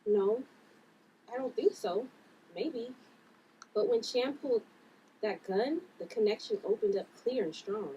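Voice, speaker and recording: An anxious, bewildered sister from a drama script, acted out by a woman. Her voice is fairly high, a bit distant from the mic, with faint noise around it. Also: unhurried, long pauses, dark tone, highs cut off, close to an everyday voice. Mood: unsure. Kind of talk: table read of a drama script.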